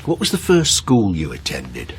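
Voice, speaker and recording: Older father, reserved, lowish voice with wide swings, radio drama. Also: huge pitch swings, moderate pace, slight background noise, heavily acted. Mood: cautious. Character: ailing older father, reserved, testing his son. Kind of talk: naturalistic radio drama acting.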